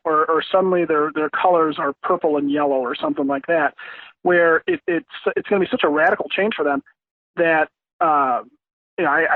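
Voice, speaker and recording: An American man on a thin phone line, giving his take as a sports podcast guest in a halting, conversational way. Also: on the high side, no echo. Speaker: podcast guest, former radio program director. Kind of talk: casual sports discussion.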